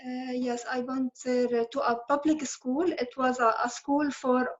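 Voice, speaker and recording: A woman's voice: high-pitched, flat delivery, a little slow, lo-fi sound. A woman in her thirties whose first language is Arabic, telling her own story earnestly and steadily as a guest in a conversation, often correcting herself mid-phrase. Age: 30s. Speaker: interviewee, refugee graduate student. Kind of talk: online conversation at a public event.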